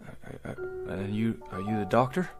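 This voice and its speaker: A confused stammery wagon train pioneer, radio play, folksy old timey talk, music behind him. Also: male voice, on the low side, very animated. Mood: anxious, pleading. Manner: stammering, halting, old-fashioned folksy phrasing. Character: bewildered, weary pioneer man from 1847, increasingly frantic. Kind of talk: radio drama acting.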